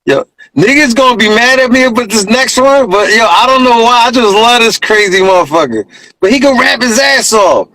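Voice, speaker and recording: High-voiced man, slangy and casual. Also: lively intonation, moderate pace, pushed and bright, poor audio. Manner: slangy, conversational. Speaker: rapper being interviewed. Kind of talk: casual interview chat.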